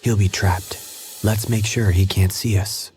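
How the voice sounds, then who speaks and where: An actor playing a confident detective who lays out plans in a steady, decisive voice. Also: male voice, on the low side, slow, soft background music. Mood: determined. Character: calm male detective, confident and methodical. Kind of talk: game cutscene voice acting.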